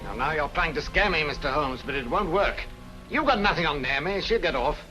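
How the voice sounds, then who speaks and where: An actor playing a cool, smug and ruthless criminal mastermind, sardonic and commanding, in 1940s mystery film style. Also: male voice, on the high side, expressive, brisk, pushed and bright, faint music behind. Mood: dismissive.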